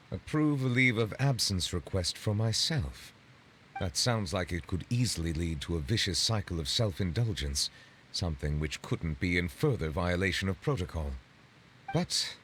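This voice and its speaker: Low-voiced, solemn, courteous dignitary. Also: male voice, slight background noise. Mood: reflective, formal. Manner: formal, measured, ornate phrasing. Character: courteous, solemn, formal male dignitary, faintly awkward. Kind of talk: video game dialogue acting.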